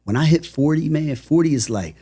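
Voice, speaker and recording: A man, talking casually as a podcast guest in an animated, emphatic, slangy way. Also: fairly high pitch, brisk, slightly rough. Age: 40s. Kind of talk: casual conversational interview.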